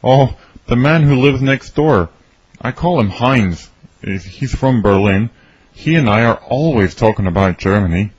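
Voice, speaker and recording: A man reading his part in a scripted English conversation for learners, his voice slightly husky. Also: mid-range voice, expressive, a little slow. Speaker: dialogue reader for English learners. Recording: dry, slightly dull studio recording.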